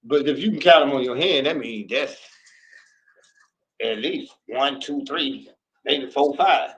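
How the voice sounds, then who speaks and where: A man's voice: mid-range voice, unhurried, a bit bright, rough recording. A middle-aged man hosting a casual talk with his son, chatty and teasing, often stumbling and repeating words. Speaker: host.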